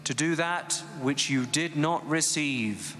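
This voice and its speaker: A man interpreting a speech into English live, in a halting, phrase-by-phrase way. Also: on the high side, slight background noise. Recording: loud stadium crowd behind. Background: stadium crowd.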